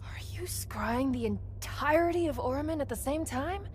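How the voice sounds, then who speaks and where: An actress playing a feisty, sarcastic young female rebel in a video game, blunt and sharp-witted. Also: high voice, animated, moderate pace, a little hiss or hum. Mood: mocking, challenging. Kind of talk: video game cutscene acting.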